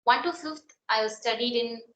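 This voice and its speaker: A young woman from South India with a Tamil accent, a Tamil native speaker, speaking haltingly and searching for words as an interview guest. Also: on the high side, a bit bright. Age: young adult. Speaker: interview guest, college student. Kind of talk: casual interview chat. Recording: echoey room, faint background noise.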